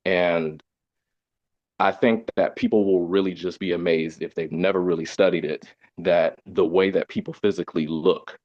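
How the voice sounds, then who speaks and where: A man's voice: sits low, a little mellow, dry recording. A man speaking earnestly in a community discussion over a video call, explaining at length with ums and "you know". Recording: dull muffled video call audio.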